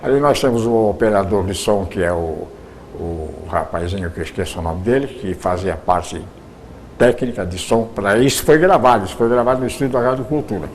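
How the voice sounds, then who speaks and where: Older guy talking about his radio days, stumbles and repeats words a lot, faint hiss behind. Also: lowish voice. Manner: halting, many repeated words and restarts. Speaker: retired radio producer. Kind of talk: reminiscing in an interview.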